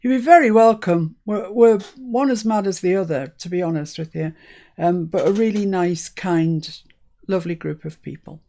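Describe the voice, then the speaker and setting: A woman's voice: lowish voice, normal speed, mellow. A woman born in Scotland hosting a live crafting stream, chatting casually and laughing often. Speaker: crafting channel host. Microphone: live-stream setup.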